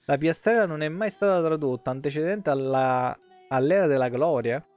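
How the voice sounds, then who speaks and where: A man's voice: on the high side, normal speed, darkish tone, dull audio. A man, doing irreverent, sweary live game commentary and reading lore aloud with casual asides. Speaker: game streamer. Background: game music.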